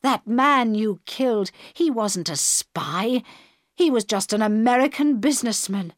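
A woman voicing a middle-aged male passenger and father, speaking low and measured. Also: lively intonation, no room sound, clean studio sound. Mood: arguing.

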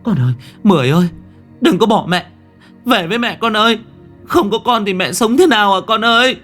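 A man's voice: mid-range voice, sing-song, measured pace, breathy edge, soft background music, voice pushed up. A man voicing a devoted, grieving mother in a dramatic story, emotional and pleading with repeated words. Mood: desperate wailing. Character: anguished, devoted mother grieving for dying daughter.

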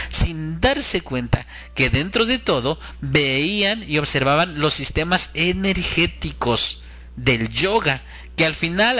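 A man's voice: fairly high pitch, sharp tone. A middle-aged man giving a religious talk as a programme host, in an earnest, didactic way that speaks straight to the listener. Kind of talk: religious talk warning against children's cartoons.

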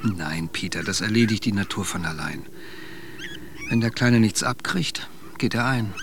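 A man playing a gruff, resigned but soft-hearted senior expedition member, subdued and matter-of-fact, in a radio drama. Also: lowish voice, measured pace, slight background noise. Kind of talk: German radio drama acting.

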